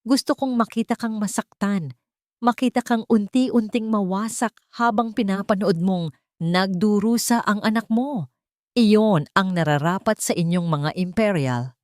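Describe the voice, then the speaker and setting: A woman voicing a bitter, vengeful elderly nanny in a story, shrill and venomous. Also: unhurried, darkish tone, no echo, studio quality, natural voice. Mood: furious, bitter.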